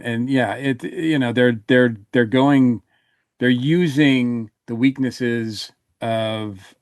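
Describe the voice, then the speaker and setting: A man speaking in a halting, think-aloud way as a panelist in a casual podcast review. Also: mid-range voice, smooth, dry recording, studio quality.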